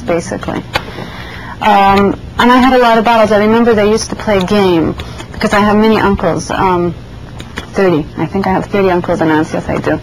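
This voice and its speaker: A woman's voice: mid-range voice, poor audio. Woman from Iran, interviewee, reflective, hesitant, faint hiss behind. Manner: reflective, hesitant with many fillers and restarts. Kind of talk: oral history interview.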